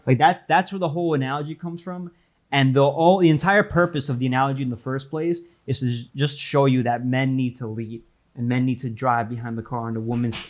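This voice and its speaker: A man chats his way through a reaction video in loose slang. He circles back over his own phrases and laughs as he goes. His voice sits at an ordinary middle pitch. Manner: slangy, repeats himself, laughs as he talks. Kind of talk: casual reaction commentary.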